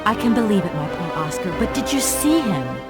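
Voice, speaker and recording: Lively, wry young adventuress played by a woman. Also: medium pitch, brisk, over music, close to an everyday voice. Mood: concerned. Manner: natural, expressive, casually wry. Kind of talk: video game character acting.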